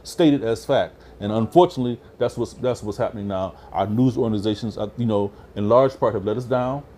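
A man's voice: lowish voice, a little fast, mellow, slight background noise. A middle-aged man chatting casually in a small barbershop, repeating words and often asking "you know what I mean". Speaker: panelist. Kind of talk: casual discussion.

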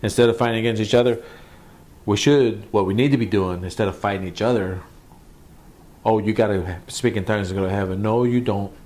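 A man's voice: lowish voice, fairly quick, a little mellow. A man, a pastor, teaching from the Bible in a conversational sermon, repeating phrases for emphasis.

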